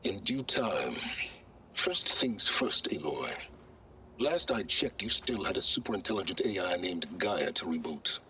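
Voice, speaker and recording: An actor playing an arrogant, secretive older male researcher in a video game, speaking in a measured, pompous, sardonic and condescending way. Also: low voice, big pitch swings, sharp tone, slight background noise, exaggerated voice. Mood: impatient. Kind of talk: video game cutscene acting.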